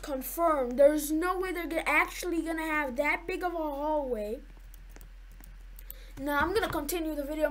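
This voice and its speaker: A woman's voice: high voice, slight background noise. A woman giving nervous, jumpy commentary to her viewers while playing a horror game. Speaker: gaming YouTuber.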